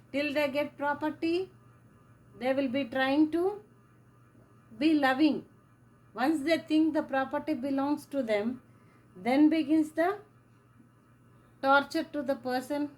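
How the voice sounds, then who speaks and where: A woman teacher giving a slow lecture, repeating key phrases as she explains. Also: high voice, darkish tone, rough recording. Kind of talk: classroom lecture.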